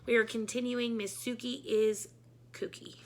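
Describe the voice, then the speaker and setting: A woman reading a children's book aloud in an animated, emphatic storytelling style. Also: on the high side, normal speed.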